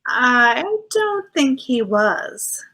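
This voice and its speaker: A woman's voice: on the high side, sing-song, very slow, bright, forceful tone, heavily acted. A woman playing a teasing, affectionate detective's wife in 1940s radio acting style. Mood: worried. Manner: playful, theatrical.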